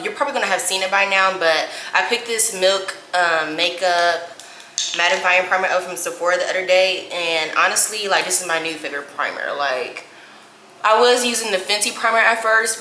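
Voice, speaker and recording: A woman's voice: on the low side, bright, forceful tone, echoey room, slight background noise, low-quality recording. A woman in her twenties from Indianapolis, chatting casually while doing her makeup, with lots of "y'all" and "like". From Indianapolis, USA. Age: 20s. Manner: chatty, says "y'all" and "like" often. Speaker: beauty vlogger.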